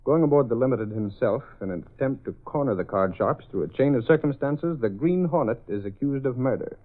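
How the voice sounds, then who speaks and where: A man's voice: mid-range voice, expressive, a little fast. A man narrating a scripted old-time radio drama as its announcer, formal and dramatic. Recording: tinny phone-like old radio recording.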